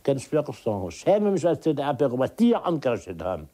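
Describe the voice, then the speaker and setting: A man's voice: mid-range voice, big pitch swings, fast talker, mellow. A man recounting his memories in casual, agitated talk, repeating himself and acting out exchanges. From East Germany. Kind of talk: emotional personal recollection in casual talk.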